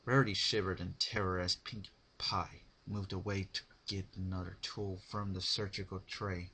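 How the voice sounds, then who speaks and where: Guy reading fan fiction dramatically, takes his time, bright but kinda raspy. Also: deep voice, level tone, moderate pace. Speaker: narrator.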